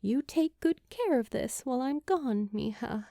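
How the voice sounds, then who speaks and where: A woman voicing an earnest, grieving teenage girl in an audiobook, halting and emotional as she speaks. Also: high voice, lively intonation, creaky, warm tone, dry recording, studio quality, pitched above the performer's own voice, exaggerated voice. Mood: wistful, vulnerable. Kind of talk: acted dialogue in audiobook narration.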